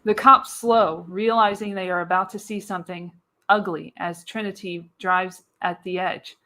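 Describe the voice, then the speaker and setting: A woman's voice: on the low side, fairly even intonation, normal speed, bright, forceful tone. A woman reading scripted action as the narrator of a live radio play, with dramatic storytelling emphasis.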